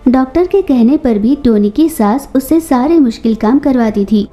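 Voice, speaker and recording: A woman's voice: high voice, expressive, normal speed, warm tone. A woman narrating a scripted children's story as the storyteller. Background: music.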